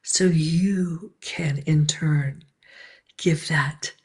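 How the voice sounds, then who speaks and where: A woman guiding a healing meditation in a slow, breathy, half-whispered voice. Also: deep voice, steady tone. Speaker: meditation guide.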